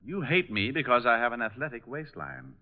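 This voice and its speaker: An actor playing a cool, flip, deadpan private detective in an old-time radio detective show. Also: male voice, mid-range voice, brisk. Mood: wry, teasing. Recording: muffled old radio recording, faint music.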